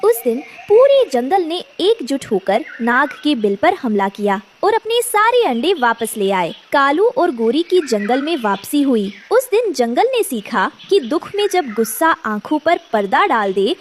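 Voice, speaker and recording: A woman's voice: high voice, slight background noise. A woman narrating a scripted children's story in a dramatic storytelling style.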